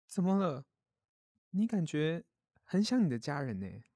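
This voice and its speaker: A man as a kindly, lively-pitched mole. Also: measured pace, no echo, clean studio sound, heavily acted. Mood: comforting. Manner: gentle, reassuring, caring. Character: kindly grown-up mole, gentle, hospitable and protective. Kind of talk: children's audio story acting.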